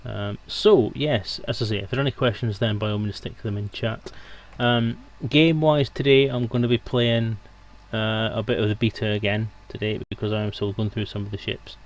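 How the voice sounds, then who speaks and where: A man's voice: on the low side, steady tone, moderate pace, few pauses. A man hosting a live stream in casual, unscripted, rambling chat.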